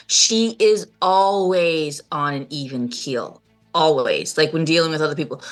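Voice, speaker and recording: A woman's voice: mid-range voice, a bit bright. A woman on a casual discussion panel, giving her opinions emphatically.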